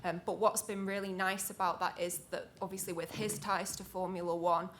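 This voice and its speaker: Low-voiced woman academic. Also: little pitch movement, fairly bright tone, a little hiss or hum. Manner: measured, explanatory, frequent "um" and "kind of". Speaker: academic researcher, panelist. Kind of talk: self-introduction on a panel.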